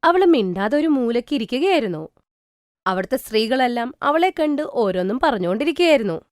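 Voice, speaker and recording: Lively woman storyteller. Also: a little high, brisk, dry recording, studio quality. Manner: lively, expressive. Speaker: narrator. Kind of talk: storybook narration for a cartoon.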